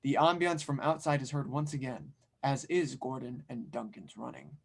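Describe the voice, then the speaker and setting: A man's voice: medium pitch, steady tone, normal speed, creaky. A man reading stage directions as narrator in a scripted audio drama table read.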